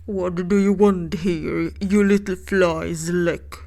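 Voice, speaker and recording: Woman putting on a booming slow giant. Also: steady tone, darkish tone. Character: huge, dim, blustering male giant.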